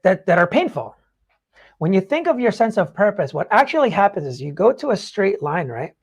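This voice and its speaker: A man hosting a podcast in casual motivational talk, explaining things in an informal, rambling way. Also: sits high. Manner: informal, rambling explanations peppered with "like" and "you know". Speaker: podcast host.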